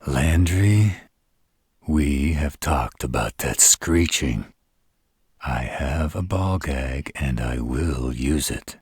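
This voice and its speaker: A man voicing an arrogant, brooding male vampire in a scripted audio roleplay, with slow, dramatic delivery and a rough voice. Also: lively intonation, dry recording, studio quality, heavily acted. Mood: stern, angry. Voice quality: very deep, rough husky voice. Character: arrogant male vampires plotting, grave and cocky.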